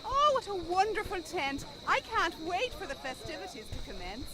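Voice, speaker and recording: A woman playing a gushing, excited wedding guest in a video game. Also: extremely high pitch, normal speed, noisy background. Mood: delighted.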